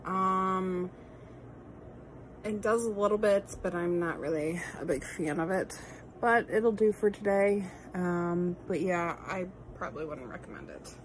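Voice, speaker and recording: Woman, thirties, lowish voice, slightly nasal, rambly casual beauty vlogger, close phone audio with audible hiss. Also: measured pace, low-quality recording. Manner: chatty, rambling, many ums, apologetic asides. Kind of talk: casual makeup tutorial. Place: bedroom at home, indoors. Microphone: phone camera held close.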